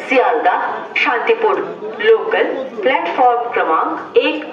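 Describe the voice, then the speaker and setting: A woman's voice: on the high side, very animated, moderate pace, far from the mic, very noisy. A woman railway station announcer making scripted public announcements in a formal, stitched phrase-by-phrase delivery over station speakers. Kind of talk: scripted public train announcement, repeated in three languages. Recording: echoey station PA from afar, muffled, crowd.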